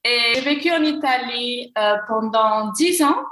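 Moroccan woman, young, clear bright voice, echoey room, stops and restarts a lot when answering. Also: a little high, animated, measured pace. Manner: searching for words, frequent fillers and restarts. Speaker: podcast guest, gender equality consultant. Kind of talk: casual interview answers.

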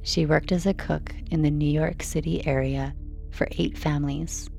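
Woman narrator, low pitch, gravelly creak, soothing bedtime reading. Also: steady tone, moderate pace, darkish tone, soft background music. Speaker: narrator reading a sleep story.

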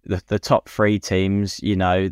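A man's voice: sits low, level tone, unhurried, a little mellow, smooth, no echo, studio quality. A man co-hosting a podcast, chatting casually and matily about sport and offering his opinions. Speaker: podcast co-host.

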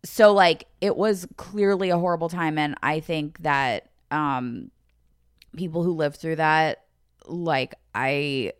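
Low, smooth-voiced American woman chatting. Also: slow, no echo, clean studio sound. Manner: informal, peppers speech with "like". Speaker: podcast co-host. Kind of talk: casual chat.

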